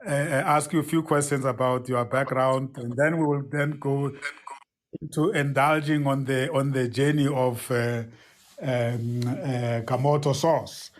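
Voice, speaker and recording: A man's voice: mid-range voice, steady tone, measured pace. A man hosting a live podcast interview, effusive and prone to repeating his words as he starts a thought. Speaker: podcast host.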